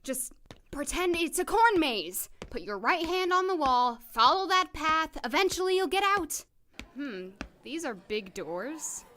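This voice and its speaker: A guarded woman doctor, played by an actress, speaks in a fairly high, bright voice that moves around a lot. She is wry, clipped and easily flustered, in an audio drama style. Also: sing-song, unhurried, heavily acted. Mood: flustered muttering. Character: guarded, prickly female doctor, awkwardly polite, easily flustered.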